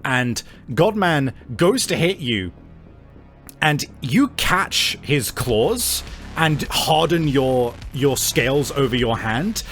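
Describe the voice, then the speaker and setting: A man's voice: medium pitch, animated, slow, fairly bright tone. A man with a middle-range voice narrates a tabletop game as its game master. He improvises casually and swears freely, with faint music underneath.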